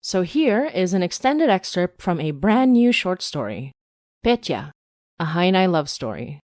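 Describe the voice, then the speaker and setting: A woman's voice: on the low side, big pitch swings, measured pace, darkish tone, no room sound, clean studio sound. A woman hosting a fiction podcast, reading announcements and scripted story narration.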